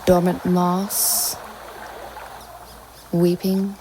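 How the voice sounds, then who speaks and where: A woman reading surreal poetry aloud in a slow, measured, incantatory way. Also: lowish voice, completely flat delivery, noisy background. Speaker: poetry reader. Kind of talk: spoken-word poetry reading. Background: music soundscape.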